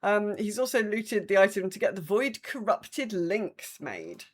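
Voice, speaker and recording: A woman's voice: medium pitch, lively intonation, unhurried. Hesitant, wry English woman chatting. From England. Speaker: podcast co-host. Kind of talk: casual chat, reading listener updates.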